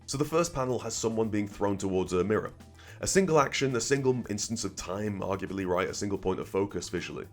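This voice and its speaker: A man's voice: on the low side, animated, quick pace. A man narrating an explanatory video essay as its host, conversational and analytical, often checking in with the listener. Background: music under the voice.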